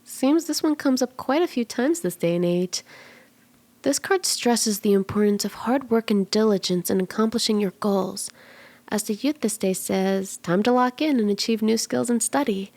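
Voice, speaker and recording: Kitsune tarot reader acted by a woman: flirty, playful, soft and intimate ASMR delivery with noticeable vocal fry and some whispering. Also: mid-range voice, moderate pace, natural voice. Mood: calm, guiding. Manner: teasing, reassuring, conversational. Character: flirty, playful female kitsune fortune teller.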